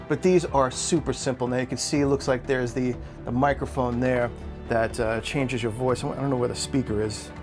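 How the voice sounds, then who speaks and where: A man hosting an unboxing video, chatting casually and enthusiastically in an unscripted product review style. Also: mid-range voice. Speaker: collectibles unboxing video host.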